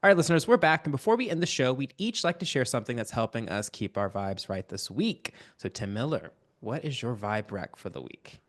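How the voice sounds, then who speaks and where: A man co-hosting a podcast, steering the segments in a casual, easygoing way. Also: medium pitch. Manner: lively, quick to laugh, steers the show. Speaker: podcast co-host. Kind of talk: casual chat.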